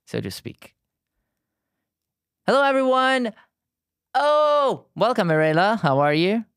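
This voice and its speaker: Southern Italian male streamer, thirties, halting friendly chat, dry close sound. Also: on the high side, a bit bright, clean tone, no room sound. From Italy. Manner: halting, frequent restarts and fillers, friendly. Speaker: art streamer. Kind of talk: casual live-stream chat while painting.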